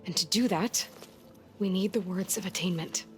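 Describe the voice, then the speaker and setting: A woman's voice: fairly low pitch, moderate pace, faint music behind, close to an everyday voice. A woman playing a determined, wry young outsider in a naturalistic video game cutscene, persuasive and probing. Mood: earnest, probing.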